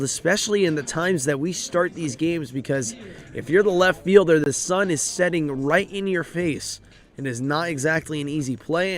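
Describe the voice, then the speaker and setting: A hoarse male baseball announcer calls play by play at an outdoor ballpark. His voice is raspy and worn, sitting a bit high for a man. He talks steadily through stats and rises with the plays. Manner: steady, informative, rises with the action. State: hoarse, voice drained. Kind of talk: live baseball play-by-play commentary.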